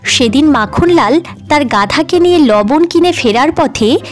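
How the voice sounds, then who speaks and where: A woman's voice: high voice, lively intonation, unhurried, soft background music. A woman narrating a scripted fairy tale for children in storybook style.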